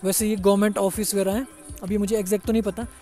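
Brief: young man vlogging outdoors, high voice but mellow tone, easygoing and chatty. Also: flat delivery, fast, faint music behind. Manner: chatty, says "yaar" a lot, mixes in English. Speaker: travel vlogger. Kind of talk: casual walking tour commentary. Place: outdoors on a busy hill-town street.

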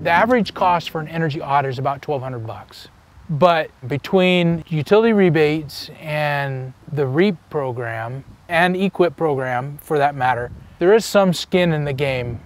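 A man's voice: fairly high pitch, moderate pace. A man in his fifties giving an explanatory interview as an energy specialist. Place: outdoors among trees by a wooden fence.

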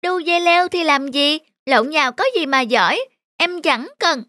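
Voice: sits high, normal speed, pushed and bright, no echo, studio quality. A performer voicing a stubborn, whiny, carefree youngest child chameleon in a children's cartoon, childish and pouting. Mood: defiant, whiny.

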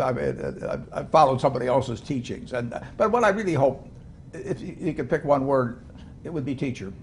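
An older man talking as an interview guest, halting with many restarts and chuckles, in a studio set like a living room. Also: mid-range voice, vocal fry, a little mellow, a little hiss or hum. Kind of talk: casual interview talk.

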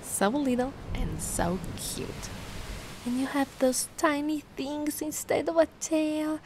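Woman playing a high, cooing giant mermaid girl. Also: takes it slowly, rough recording. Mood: adoring. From Italy. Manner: cooing, gushing, gentle. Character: giant young female mermaid, sweet, curious, doting, excitable. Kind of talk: ASMR monster roleplay. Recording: noticeable ambient background noise.

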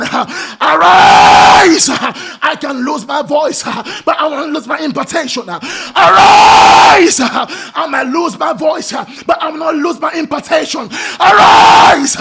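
A man in his thirties preaching over a video call from a small room at home, hoarse from losing his voice, shouting in a chanting cadence with a grunted "uh" between phrases and breaking into tongues. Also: very high voice. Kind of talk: charismatic sermon with shouting and speaking in tongues. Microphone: webcam in front of him, video call.

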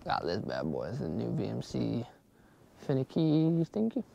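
A man's voice: medium pitch, huge pitch swings, moderate pace, creaky, warm tone, dull audio. A young man vlogging casually outdoors on a frozen lake, chatting upbeat to viewers about his fishing gear, recorded on an action camera. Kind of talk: casual outdoor vlog chat.

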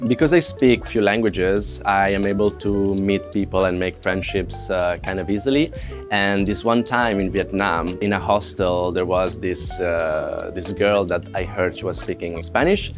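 A man's voice: low voice, measured pace, over music. A man in his late twenties, a native Italian speaker, chatting casually to the camera with fillers and restarts, recorded on a lapel mic indoors. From Italian household. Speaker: presenter.

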